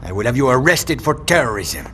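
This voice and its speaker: An actor playing an earnest, authoritative security chief in a video game, speaking formally and with emphasis. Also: male voice, mid-range voice, big pitch swings, a little hiss or hum. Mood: indignant. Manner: formal, measured wording, emphatic. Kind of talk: video game cutscene acting. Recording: loud music bed behind.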